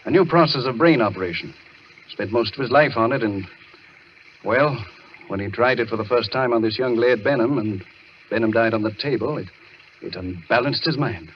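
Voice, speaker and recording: An actor playing an earnest, troubled sanitarium doctor who reasons patiently but anxiously in an old radio drama. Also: male voice, medium pitch, normal speed, natural voice. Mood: grave, explaining. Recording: muffled, noisy vintage radio recording.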